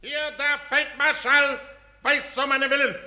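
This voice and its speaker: An actor playing a proud, embittered army commander in a classical verse radio drama, declaiming imperiously. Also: male voice, extremely high pitch, little pitch movement, unhurried, dull audio, very noisy. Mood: controlled, commanding.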